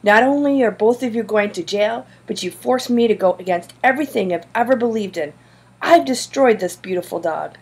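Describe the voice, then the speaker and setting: Woman acting an indignant, scolding, husky schoolgirl. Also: medium pitch, animated, a little fast, a little mellow. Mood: seething, hurt. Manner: indignant, emphatic, scathing. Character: outraged, principled schoolgirl animal lover, fiery and scolding. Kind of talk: audiobook character dialogue.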